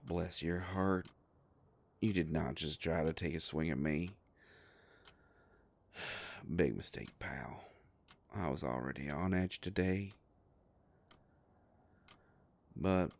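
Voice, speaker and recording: A man playing a gruff, protective werewolf private investigator in a dramatic audio roleplay, with a gravelly voice and a slow, menacing, sardonic, folksy tough-guy delivery. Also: rumbling low, heavily acted.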